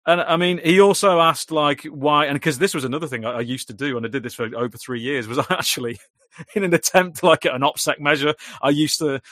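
British man, droning delivery, rambling chat, fast pace. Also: a little high, fairly bright tone, dry recording, clean studio sound. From Britain. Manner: rambling, repeats words when restarting. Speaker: YouTuber guest. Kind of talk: casual chat.

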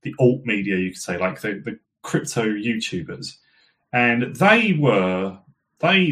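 A man talking as a guest on a casual podcast, his voice hoarse and fading from a cough. He speaks in a lowish register, rambling loosely with plenty of fillers and restarts. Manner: chatty, many fillers and restarts. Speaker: guest commentator.

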